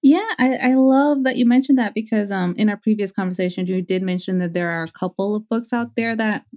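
A woman's voice: mid-range voice, a little fast, darkish tone. A woman hosting a podcast, interviewing a guest in a friendly way. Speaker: podcast host.

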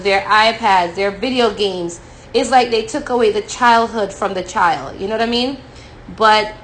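Jamaican lady in her 30s doing her podcast, chatty storyteller, mid-pitched, talks right at you. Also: a bit bright, a little hiss or hum, low-quality recording. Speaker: podcast host. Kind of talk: casual podcast monologue.

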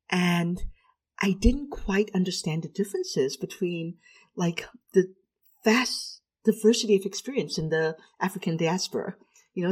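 A woman's voice: fairly low pitch, darkish tone, breathy edge. A middle-aged woman from Trinidad, a clinical psychologist, telling her story in a reflective, articulate way.

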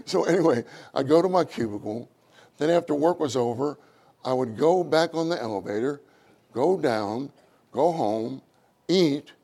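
Loud animated preacher man. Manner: animated, acts out quotes with rising pitch. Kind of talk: sermon.

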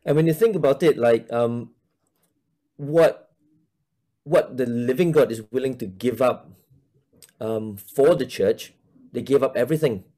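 A man's voice: medium pitch, a little slow, long pauses, dark tone. A man in his forties from Malaysia, a church minister talking casually in an interview, hesitant, with many fillers and restarts.

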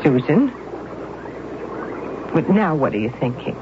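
An actress playing a fretful, protective aunt who scolds in a fussy, exclamatory way, in an old-time radio drama. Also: female voice, deep voice, big pitch swings, slow, exaggerated voice. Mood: alarmed scolding. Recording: muffled, noisy old radio recording.

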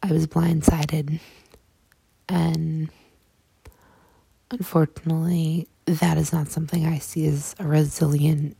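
A young woman with a low, husky voice tells her own story slowly and haltingly, stopping often and starting again. Her tone is dark and muffled, and the recording is dry and close. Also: little pitch movement, dry recording. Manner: halting, soft-spoken, many fillers and restarts. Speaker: podcast host. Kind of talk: personal storytelling monologue.

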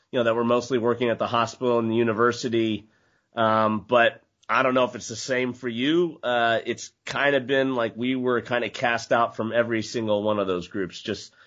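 A man's voice: mid-range voice, fairly bright tone, highs cut off. A man hosting a podcast interview, chatting casually and loosely, with lots of "you know" and "uh". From United States. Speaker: podcast host.

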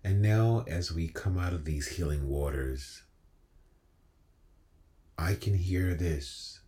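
A man's voice: sits low, takes it slowly, dark tone. A man reciting spoken-word poetry like a preacher, with a solemn, exhortative, rhythmic delivery and emphatic repetition.

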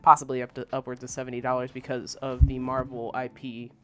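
A man with a smooth, clear voice at a medium pitch co-hosts a gaming podcast. He delivers news and his own commentary informally, as if chatting with a friend. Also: monotone, a little fast. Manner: informal, conversational.

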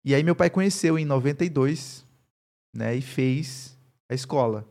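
Thirtysomething pastor guy, mixed paraiba accent, calm steady storytelling in a chat. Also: medium pitch, normal speed. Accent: mixed Paraíba Brazilian Portuguese. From Campina Grande, Paraíba, Brazil. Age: 30s. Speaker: pastor, interview guest. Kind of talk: casual interview conversation.

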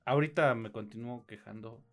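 A Mexican man with a mid-pitched voice chats as co-host of a film podcast. His reviewing is casual and slangy, with a grumbling, complaining manner. Faint music plays behind him. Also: a little fast.

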